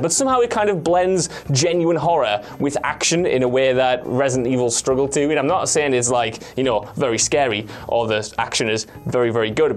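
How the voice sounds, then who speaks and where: A man presenting in a casual film chat, enthusiastic and self-mocking, rambling as he gives his opinions. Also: mid-range voice. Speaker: video game show presenter.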